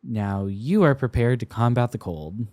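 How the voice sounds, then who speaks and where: A man's voice: mid-range voice, lively intonation, unhurried, dry recording, close to an everyday voice. A young man playing a tender, flustered college-age boyfriend in an intimate romantic roleplay, with hesitant, stammering delivery. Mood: affectionate, playful. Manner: hesitant, stammering, soft-hearted.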